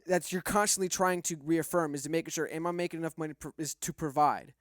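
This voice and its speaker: Fast-talking man with a higher-pitched voice. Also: steady tone, dry recording, studio quality. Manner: questioning, argumentative. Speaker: podcast panel host. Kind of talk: casual debate on a discussion podcast.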